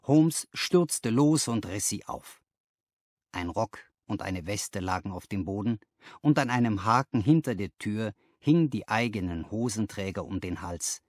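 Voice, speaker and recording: A man's voice: on the low side, dry recording, clean studio sound. A man reading a story aloud as scripted literary narration. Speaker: audiobook narrator.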